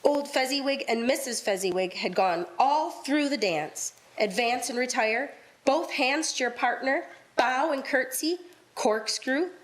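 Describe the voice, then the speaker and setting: A woman's voice: high-pitched, a little slow, low-quality recording. A woman reading scripted story narration in a radio-play style.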